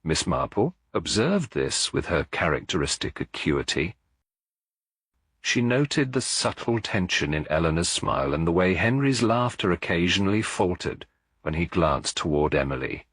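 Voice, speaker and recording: A man reading a cozy mystery story as a scripted audiobook, in a measured, literary storytelling manner. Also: sits low, big pitch swings, fairly bright tone, slightly rough, dry recording. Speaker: narrator.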